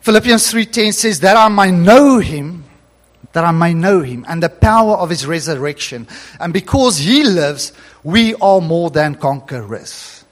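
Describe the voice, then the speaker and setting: Afrikaans-speaking pastor guy, earnest story sermon, voice sits kinda high. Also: expressive, a little slow. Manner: earnest storytelling, rising to emphatic preaching.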